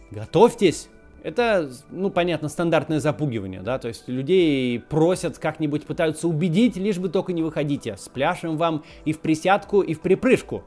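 An animated man in his thirties delivers scripted political commentary with a lively, swinging pitch. His tone turns ironic and sardonic in the asides, and faint music plays underneath. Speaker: political video host.

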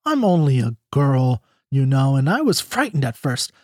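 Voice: mid-range voice, big pitch swings, moderate pace, a little mellow, no room sound, clean studio sound. A reader voicing a young ranch woman who sounds sweet, pleading and girlish.

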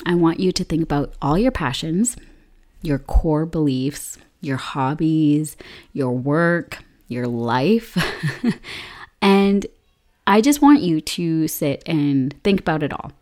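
A young woman hosting a solo podcast, her voice hoarse from illness, upbeat and chatty with frequent laughs. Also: low voice, expressive, takes it slowly, darkish tone. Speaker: podcast host.

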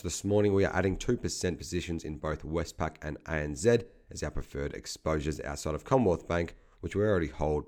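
Casting note: male market commentator, low voice, slight croak, brisk figure-heavy read. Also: a little mellow. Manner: brisk, businesslike delivery of figures. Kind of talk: scripted market update.

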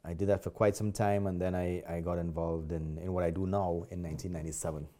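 Trinidadian male guest, fifties, very low and warm, polite conversational delivery. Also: flat delivery, fast talker. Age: 50s. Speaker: podcast guest, martial artist. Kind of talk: casual interview chat.

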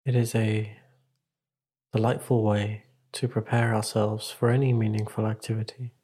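A man leading a guided meditation as a teacher, speaking in a calm, measured, instructive way with long pauses. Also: lowish voice, slightly rough.

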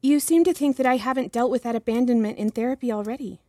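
An actress playing a young, earnest and deferential patient in a fiction podcast, speaking in a hesitant, careful, apologetic manner. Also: female voice, fairly high pitch, fast, dark tone, dry recording, lightly acted. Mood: guardedly earnest. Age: young adult. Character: young female patient, earnest, deferential, guarded, eager to please.

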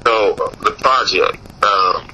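A man's voice: fairly low pitch, takes it slowly, sharp tone, slight background noise, rough recording. A young man from Mississippi, a musician guest in a casual interview, talking loosely with many fillers and restarts.

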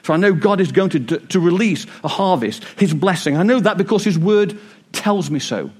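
A man preaching a sermon in church, a bit croaky, with a joking, warm delivery. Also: high voice, animated, normal speed. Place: church hall with congregation. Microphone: wireless mic.

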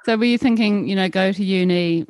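A woman's voice: mid-range voice, a little fast, a little mellow, no room sound, rough recording. A woman interviewer asking friendly questions and affirming her guest encouragingly. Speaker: interviewer, host.

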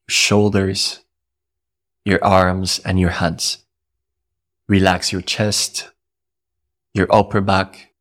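Slow, low-voiced male meditation guide. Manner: slow, soothing, repetitive instructions with long pauses.

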